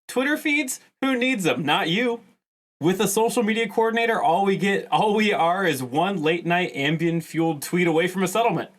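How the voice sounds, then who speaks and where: A man on a comedy podcast improvising a glib corporate sales pitch as a panelist. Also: animated, moderate pace. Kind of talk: improvised comic pitch and banter.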